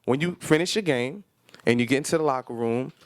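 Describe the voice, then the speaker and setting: A man's voice: mid-range voice, fairly quick, no echo. An American man talking as a radio host in casual, opinionated chat, blunt, slangy and prone to swearing.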